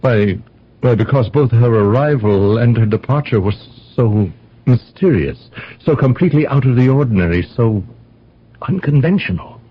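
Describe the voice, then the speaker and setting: A man's voice: medium pitch, animated, normal speed, husky. An actor playing a flustered, earnest house guest in a radio drama, stammering and repeating his words in agitation. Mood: uneasy. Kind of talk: old-time radio drama acting. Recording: dull lo-fi radio recording.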